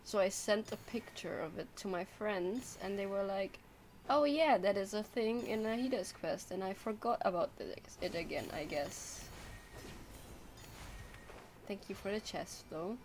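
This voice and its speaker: A woman's voice: medium pitch, expressive, measured pace, background noise. A woman streaming a video game, rambling casually and muttering to herself as she plays. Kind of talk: casual gameplay commentary. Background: game music.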